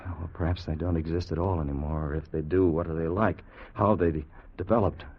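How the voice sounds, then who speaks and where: An actor playing an anxious, timid time traveller who also narrates, in an earnest, dramatic 1950s radio style. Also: male voice, low-pitched, steady tone, a little fast, slightly rough. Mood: uneasy. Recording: dull vintage radio recording with music.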